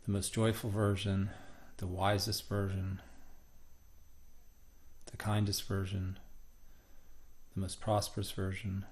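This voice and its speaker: A man guiding a meditation, speaking gently and unhurriedly in short phrases with frequent pauses. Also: sits low, monotone. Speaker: meditation guide. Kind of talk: guided meditation narration.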